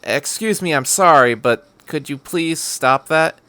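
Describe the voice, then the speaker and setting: A man's voice: fairly high pitch, unhurried, pushed and bright, no echo, pitched above the performer's own voice. A man voicing a timid, awkward runaway boy who speaks hesitantly and stammers in a fan fiction reading. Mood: nervous, polite.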